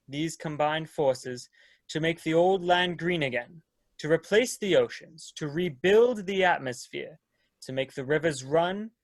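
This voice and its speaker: An actor playing a courtly, earnest leader of a space expedition, with a formal, old-fashioned, oratorical manner in a dramatic reading. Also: male voice, a little high, dry recording. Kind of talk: dramatic reading of science fiction.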